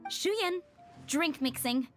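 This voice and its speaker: An easygoing, brisk young woman in a video game. The voice is fairly high and lively in its swings. Soft music runs underneath. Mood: brisk, agreeable.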